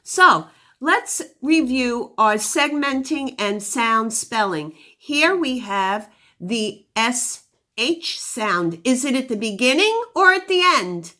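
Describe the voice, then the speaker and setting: A woman's voice: on the high side, big pitch swings, takes it slowly, bright, forceful tone. A woman teacher giving a phonics lesson to young children, encouraging them with praise and questions and sounding out words with exaggerated articulation.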